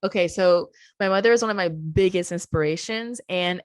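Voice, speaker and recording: A woman who grew up in Colombia telling her family story as a podcast guest, animated, laughing easily and slowing down for emphasis. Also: lowish voice, clear, resonant tone, dry recording. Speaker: podcast guest, business co-founder. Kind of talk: casual storytelling interview. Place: at home with a child nearby.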